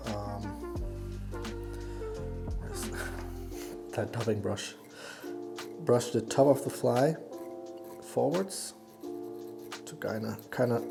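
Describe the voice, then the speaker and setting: A man with a Danish accent giving a casual hands-on tutorial, haltingly thinking aloud as he works. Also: medium pitch, creaky. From Denmark. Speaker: fly tying instructor and YouTuber.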